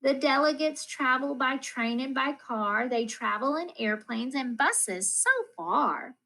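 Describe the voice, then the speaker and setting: Female storybook reader, school-educator read-aloud. Higher register, unhurried pace, rhythmic and expressive delivery of children's verse. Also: low-quality recording. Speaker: school math coach reading aloud.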